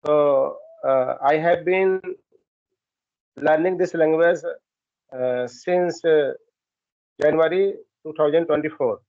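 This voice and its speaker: Halting Indian man on a dull call line. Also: fairly high pitch, darkish tone, dry recording. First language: Hindi. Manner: halting beginner English, many fillers. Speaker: English learner. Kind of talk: casual practice conversation. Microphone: app call. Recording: dull, dry call audio.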